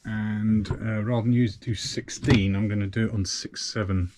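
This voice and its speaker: A man's voice: on the low side, flat delivery, normal speed. A man talking casually to camera as a sailing vlogger, talking through each step of a hands-on job as he works. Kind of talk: casual narrated hands-on demonstration. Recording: clear background noise with clicks.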